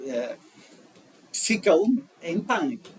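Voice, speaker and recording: Dutch-accented man, middle-aged, fairly high voice, halting storyteller, a bit off-mic, faint background noise. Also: very animated, recorded from a distance, poor audio. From Netherlands. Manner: halting, with frequent restarts and "é" fillers. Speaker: guest. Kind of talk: casual conversational storytelling.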